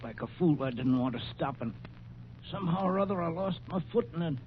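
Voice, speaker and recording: An actor playing a tough, forgiving old injured prospector with weary, faltering, folksy speech in a radio western. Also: male voice, on the high side, lively intonation, fairly quick, close to an everyday voice. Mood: pained, weary. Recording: dull old radio recording, faint noise.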